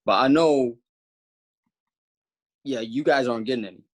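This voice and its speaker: A man's voice: mid-range voice, moderate pace. A man co-hosting a comedy podcast who teases the others in a needling way. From Los Angeles. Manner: teasing, needling. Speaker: podcast co-host. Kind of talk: casual comedic chat.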